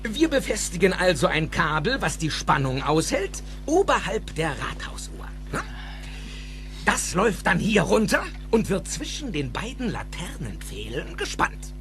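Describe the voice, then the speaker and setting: An actor playing an excitable, eccentric older male inventor who explains things theatrically and emphatically in a dubbed film drama. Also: high voice, a little slow, faint music behind, heavily acted. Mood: excited explaining. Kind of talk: dubbed film acting in a German audio drama.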